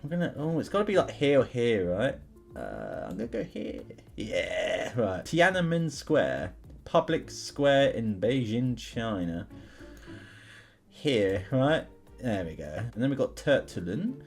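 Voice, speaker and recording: A man's voice: mid-range voice, huge pitch swings, measured pace, vocal fry. A young man giving casual, chatty gameplay commentary, informally thinking aloud as he goes. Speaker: gaming video host. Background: music behind the voice.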